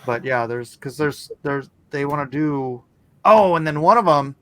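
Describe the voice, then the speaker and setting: A man's voice: fairly high pitch, animated, measured pace, fairly bright tone. A man chatting casually as a podcast co-host. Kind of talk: casual chat.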